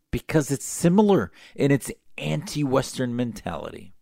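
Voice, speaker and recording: Gravelly man delivering combative commentary. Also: fairly low pitch, a little mellow, dry recording, clean studio sound. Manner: emphatic, sarcastic, combative. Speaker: political commentator. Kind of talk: opinionated talk-show commentary.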